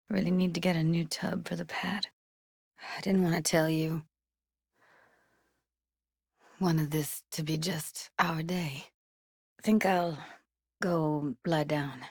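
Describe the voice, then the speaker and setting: Young streetwise techie woman for a game, slangy and clipped, lowish voice, takes her time. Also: husky, dry recording, studio quality, heavily acted. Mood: tired, subdued. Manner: casual, clipped, slangy delivery. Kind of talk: naturalistic video game dialogue.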